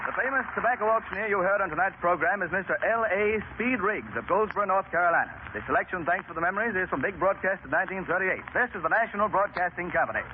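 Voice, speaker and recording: A man's voice: high voice, animated, fast, hardly any pauses, heavy background noise. A man giving a formal network sign-off announcement in 1930s radio style. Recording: tinny 1930s radio broadcast over loud music.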